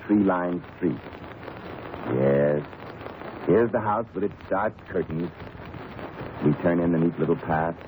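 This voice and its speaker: A man announcing and hosting a scripted 1930s radio program in a measured, genial manner. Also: fairly low pitch, lightly acted. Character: genial radio host visiting an old storyteller friend. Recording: noisy, narrow-band 1930s radio recording.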